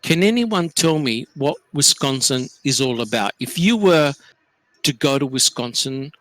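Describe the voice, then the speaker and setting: Bright-voiced Australian man hosting. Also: medium pitch, lively intonation, unhurried, dry recording, low-quality recording. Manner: leads with questions, many fillers. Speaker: podcast host. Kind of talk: casual group discussion.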